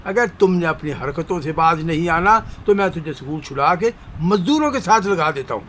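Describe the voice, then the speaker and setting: An exasperated father in a radio drama, scolding with emphasis. His voice sits high and sounds sharp and forceful, over some background noise. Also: expressive, normal speed. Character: exasperated, scolding shopkeeper father of a truant boy. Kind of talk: Urdu radio drama acting.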